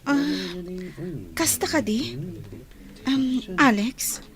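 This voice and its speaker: A woman playing a puzzled, polite village eatery keeper in a radio drama, questioning in drawn-out phrases with an Ilocano accent. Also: high voice, background noise. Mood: curious, puzzled.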